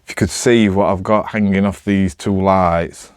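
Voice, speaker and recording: A man's voice: low-pitched, steady tone, normal speed, a little mellow, clean tone, no room sound, clean studio sound. A man with a Northern English accent chatting casually to camera, rambling in a hesitant, self-deprecating way. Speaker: amateur vlogger.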